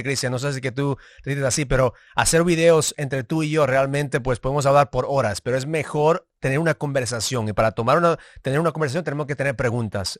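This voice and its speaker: A man co-hosts a podcast in easy, chatty Spanish with an accent that blends Ecuadorian and Dominican. His voice sits at a middling pitch. He often breaks off and restarts words, and the sound is dry and close, with no room echo. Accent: mixed Ecuadorian and Dominican Spanish. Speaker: podcast co-host. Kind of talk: casual podcast chat.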